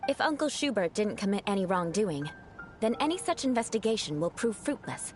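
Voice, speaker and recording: A woman's voice: medium pitch, big pitch swings, a little fast, close to an everyday voice. A woman voicing an aloof young noble knight who speaks in a formal, haughty way. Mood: instructive. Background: game music.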